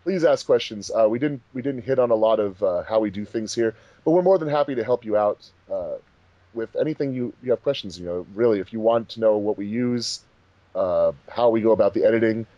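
A man's voice: fairly low pitch, brisk, no echo, lo-fi sound. A man hosting a casual group commentary, chatting informally. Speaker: host of a fan dub commentary track.

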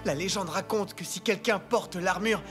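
A woman's voice: medium pitch, normal speed, natural voice. A woman playing a proud, firm young cartoon boy inventor, emphatic and a little huffy. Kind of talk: animated cartoon dub acting. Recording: loud music behind the voice.

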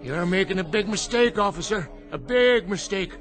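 A man's voice: high voice, lively intonation, normal speed, a bit bright, soft background music. An actor playing a folksy, rambling, eccentric old American man full of monster theories in a radio drama. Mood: insistent. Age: older.

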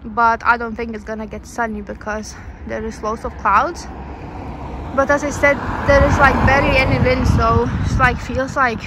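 High-voiced woman vlogging over background noise. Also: flat delivery, moderate pace. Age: 30s. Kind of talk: casual chatty vlog. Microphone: handheld phone camera. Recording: noisy phone audio with ambience and vehicles.

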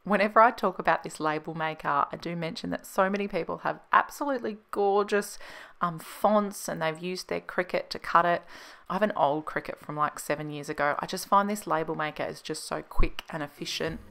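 A woman's voice: on the low side, a little fast, creaky, fairly bright tone. A woman in her thirties with an Australian accent, narrating a casual cleaning vlog in a chatty, explanatory way. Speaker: home organisation vlogger.

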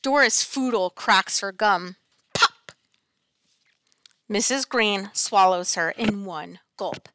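A middle-aged woman teacher reading a picture book aloud in an expressive, dramatic, deliberate storytelling style, close on a headset mic. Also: mid-range voice. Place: small classroom, indoors.

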